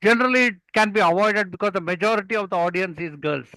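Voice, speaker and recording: A man's voice: high-pitched, fast, sharp tone, dry recording, poor audio. An older man from Kerala with a South Indian accent and Malayalam as his first language, telling stories and giving earnest, emphatic advice in conversation. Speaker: guest, experienced club speaker.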